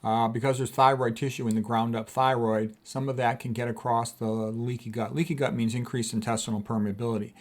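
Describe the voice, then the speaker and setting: A man's voice: medium pitch, flat delivery, brisk, hardly any pauses, a little mellow. A man, a physician, explains points in an informal lecture, with an emphatic, opinionated manner and plain repetition. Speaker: physician presenting health lecture, author. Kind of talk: informal explanatory lecture reading and commenting on quotes.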